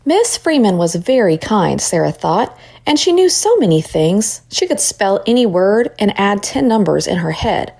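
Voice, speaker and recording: A woman reading a children's story aloud as an expressive narrator. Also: mid-range voice, normal speed, vocal fry, few pauses. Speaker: storybook reader.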